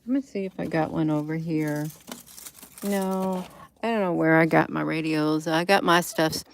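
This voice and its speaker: American woman, low register, casual home vlogger, unscripted and repetitive. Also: fairly even intonation, moderate pace, vocal fry, a little hiss or hum. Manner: repeats words and phrases for emphasis. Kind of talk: casual rambling chat, showing gadgets. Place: indoors at home.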